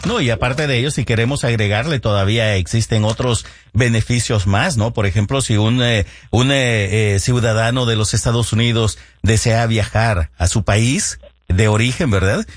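A man with a low voice co-hosts a radio talk show. He speaks hesitantly, full of fillers and checking his facts aloud. His voice sounds dry and close. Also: moderate pace, no room sound. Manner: hesitant, many fillers, seeks confirmation. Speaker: radio co-host.

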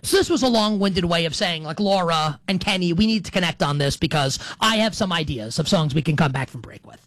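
A man's voice: a little high, expressive, brisk, a bit bright, no room sound. A middle-aged man hosting a sports talk show in a casual monologue, digressing, repeating and qualifying his points for emphasis.

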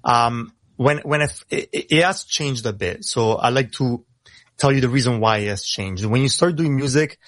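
A young man who grew up in Italy, with Italian as his first language, talking casually over a video call in a halting way with many fillers. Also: medium pitch, fairly bright tone, no room sound. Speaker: rapper guest.

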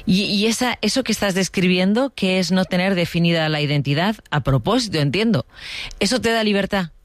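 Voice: medium pitch, fairly quick, no echo. A radio talk show host interviewing guests with many questions. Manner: attentive, asks many questions.

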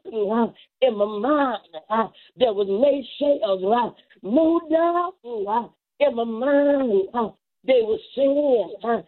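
Slow, high-voiced woman preaching fervently. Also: narrow, tinny audio. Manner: rhythmic short phrases with repeated exclamations of praise and punctuating grunts.

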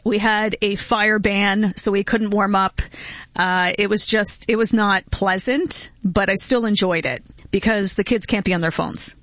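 A woman's voice: mid-range voice, steady tone, unhurried, fairly bright tone, no echo, lo-fi sound. A middle-aged woman from Canada hosting a casual radio chat, talkative and laughing often between stories. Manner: chatty, laughs often, many fillers. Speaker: radio host.

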